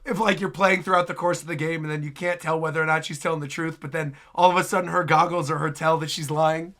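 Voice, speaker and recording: A man hosting a reaction video, giving chatty, excitable casual commentary on game trailers. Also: fairly high pitch, quick pace. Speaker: host, reaction video creator.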